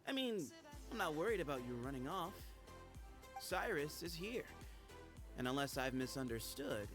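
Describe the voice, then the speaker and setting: A man's voice: a little high, lively intonation, moderate pace, lightly acted. An actor playing a sly young man who guards a temple, wry and evasive. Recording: game dialogue with music behind.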